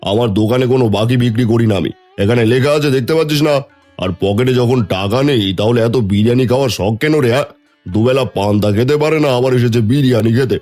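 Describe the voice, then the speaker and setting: A man's voice: medium pitch, fast, darkish tone, natural voice. A man playing a stingy, scornful village shopkeeper in a Bengali cartoon, brusque and mocking. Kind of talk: Bengali fairy tale cartoon dubbing. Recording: music under the voice.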